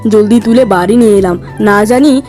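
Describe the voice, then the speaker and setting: A carefree village fisherman from a cartoon fairy tale, acted by a man. His voice sits fairly high and soft-toned, and he speaks in a theatrical, coaxing way. Music plays underneath. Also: moderate pace, close to an everyday voice. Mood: amazed, tender. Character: cheerful, carefree fisherman husband who loves food.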